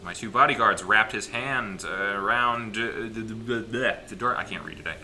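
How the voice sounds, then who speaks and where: A mid-pitched narrator reads visual novel text aloud for a casual gaming video. The delivery is playful and dramatic, broken by stumbles and wry asides. Music plays clearly behind the voice. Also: normal speed, pushed and bright.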